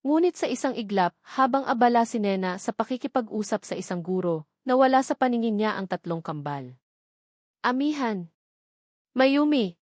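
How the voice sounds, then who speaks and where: A woman's voice: mid-range voice, darkish tone, no echo, clean studio sound. A woman reading scripted, dramatic narration of a romance story as a storyteller.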